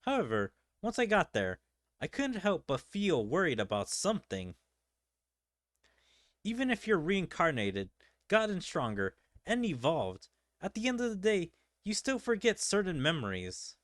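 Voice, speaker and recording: A man's voice: high voice, huge pitch swings, unhurried, fairly bright tone, no echo, clean studio sound. A man reading game story aloud and adding casual, rambling commentary as a streamer. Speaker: gaming streamer.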